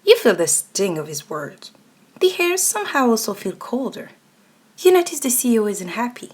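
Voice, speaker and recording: A woman's voice: a little high, big pitch swings, moderate pace, darkish tone, breathy edge. A woman reading a scripted second-person ASMR story as its narrator. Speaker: narrator and voice actor.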